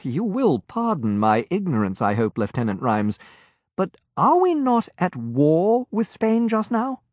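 A man's voice: sits high, huge pitch swings, unhurried, a little mellow, dry recording, pitched above the performer's own voice, heavily acted. A man reading an audiobook and voicing a polite aristocratic officer with a formal, dryly ironic manner. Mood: incredulous. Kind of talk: audiobook character voice. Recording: dull, muffled audiobook recording.

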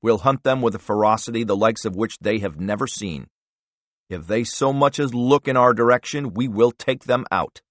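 A man's voice: mid-range voice, normal speed, no room sound, natural voice. A man voicing a resolute president who speaks in firm, declarative statements.

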